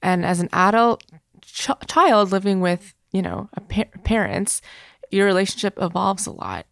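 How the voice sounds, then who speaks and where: A woman's voice: mid-range voice, lively intonation, normal speed, creaky, dry recording, studio quality. A young adult woman co-hosting a podcast in casual, conversational chat. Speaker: podcast co-host.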